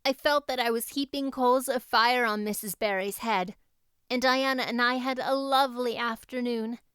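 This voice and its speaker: A woman voicing an excitable, talkative young girl with a gushing, dramatic, flowery manner in an audiobook. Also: a little high, normal speed, clean tone, no room sound, studio quality, lightly acted. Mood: blissful, dramatic. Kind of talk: audiobook character voice.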